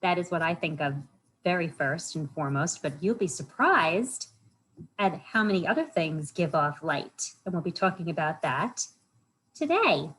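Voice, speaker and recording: A woman's voice: fairly low pitch, big pitch swings, normal speed, fairly bright tone, slight background noise, low-quality recording. A woman hosting a storytime for children, reading aloud and chatting in simple words, often putting questions to her young listeners. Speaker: library storytime host for children.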